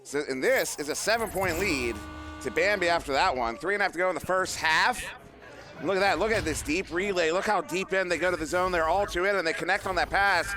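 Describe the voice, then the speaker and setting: Bright-voiced man calling a live esports match. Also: high-pitched, brisk. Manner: joking, self-deprecating, rambling with fillers. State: losing his voice. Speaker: esports caster. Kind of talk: live play-by-play commentary. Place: live event venue.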